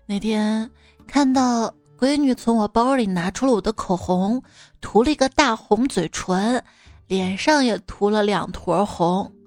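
A woman's voice: mid-range voice, measured pace, a little mellow, natural voice. A woman playing a playful, teasing mother who coaxes a stubborn child in a comic bedtime sketch. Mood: fond, amused. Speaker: storyteller and performer. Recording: music behind the voice.

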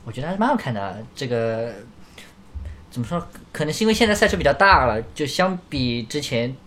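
A man's voice: medium pitch, sing-song, quick pace, a bit bright, faint music behind. A man co-hosting a casual chat about motor racing, tending to repeat his phrases as he talks. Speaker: podcast co-host.

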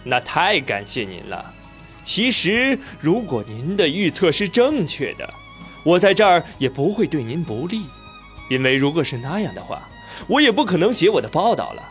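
A man playing a polite but defiant young investigative journalist in a radio drama, measured and argumentative. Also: on the high side, very animated, fairly bright tone. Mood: polite, challenging. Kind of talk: Chinese radio drama acting. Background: music.

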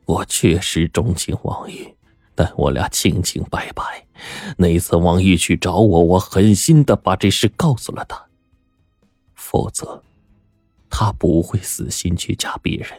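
A man's voice: sits low, little pitch movement, moderate pace, darkish tone, no room sound, soft background music. A man voicing a somber middle-aged prefect in a story, speaking with grave, bitter restraint. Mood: bitter, subdued. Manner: grave, self-mocking, cold.

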